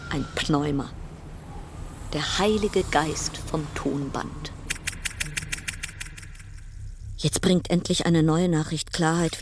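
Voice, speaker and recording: A brooding female narrator delivers an absurd, dystopian radio monologue. Her voice sits on the low side and moves very slowly, with deliberate, incantatory phrasing. Faint noise lies behind the words. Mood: sardonic, reporting. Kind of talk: literary radio play monologue.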